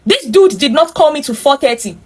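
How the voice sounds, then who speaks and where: A woman's voice: sits high, expressive, a little fast. A woman with a Nigerian accent telling a story as host, emphatic and opinionated, breaking in with commentary addressed straight to listeners. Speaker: host reading and reacting to a viewer's story. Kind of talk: animated storytelling with running commentary.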